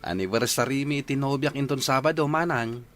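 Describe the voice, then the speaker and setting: A man's voice: mid-range voice, fairly quick, a bit bright, natural voice. A man playing an earnest, polite young boyfriend in a radio drama, with an Ilocano Filipino accent. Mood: polite, friendly.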